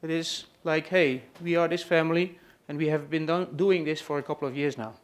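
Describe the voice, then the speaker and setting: A man's voice: a little high, steady tone, a little fast. A man from the Netherlands with a Dutch accent, introducing a family to a live audience and hesitating often with fillers. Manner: hesitant, many "uh" and "let's say" fillers. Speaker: presenter hosting a farm tour session. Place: room at a trade expo.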